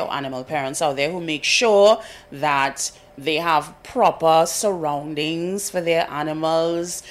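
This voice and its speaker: A woman co-hosting a breakfast radio show, chatty and laughing often. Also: sits low. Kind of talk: casual studio banter. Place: radio studio.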